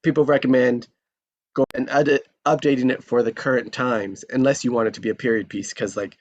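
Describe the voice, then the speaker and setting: A man's voice: mid-range voice, fairly even intonation, fairly quick, highs cut off. A man in a casual discussion after a table read, thinking aloud with many fillers and restarts.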